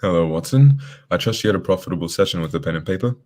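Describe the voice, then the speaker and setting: Guy playing a gentleman detective in a radio play, low voice, talks fast, formal and courteous. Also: expressive. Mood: brisk, thoughtful. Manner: formal, measured wording, polite. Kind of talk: radio drama acting.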